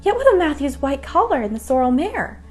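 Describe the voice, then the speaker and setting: A woman's voice: sits high, very animated, fairly quick, a little mellow. A woman narrating a children's bedtime story from a script. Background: music.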